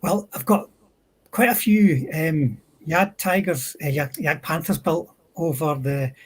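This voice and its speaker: A man's voice: on the high side, measured pace, a little hiss or hum. A man chatting casually as a panel member on a hobby livestream.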